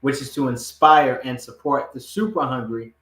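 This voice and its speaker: A man's voice: medium pitch, steady tone, moderate pace, a bit bright. A man from Ghana who grew up partly in the United States, telling a personal story as a podcast guest in an emphatic, repetitive conversational way. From Ghana, moved to the United States aged eight. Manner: emphatic, repetitive, often checks in with "right?". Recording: roomy, echoing room sound.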